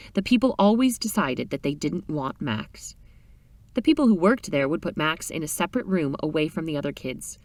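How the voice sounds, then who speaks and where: A woman reading a short story aloud in an expressive, dramatic storytelling style. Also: deep voice, dry recording, distant mic. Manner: animated, dramatic reading with character voices.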